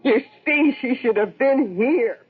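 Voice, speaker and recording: Radio drama wife: very high, rough-edged, brittle and troubled; narrow old-radio sound. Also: female voice, animated, unhurried, soft background music, exaggerated voice. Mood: distraught. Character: distraught, grief-stricken wife, brittle and stubborn. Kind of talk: 1970s radio drama acting. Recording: tinny, narrow-band old radio recording.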